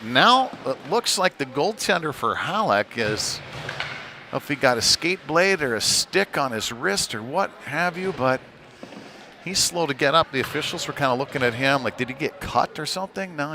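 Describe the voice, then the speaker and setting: A man's voice: medium pitch, expressive, normal speed, sharp tone. A man doing live ice hockey play-by-play commentary in a conversational, informal manner. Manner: conversational, informal, tag questions. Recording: clearly noisy venue ambience.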